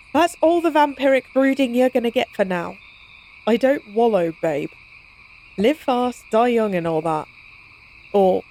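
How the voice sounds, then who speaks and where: A woman's voice: mid-range voice, darkish tone, natural voice, low-quality recording. A woman playing a playful, cocky, science-obsessed vampire in an intimate audio roleplay, speaking in a casual, teasing, wry way.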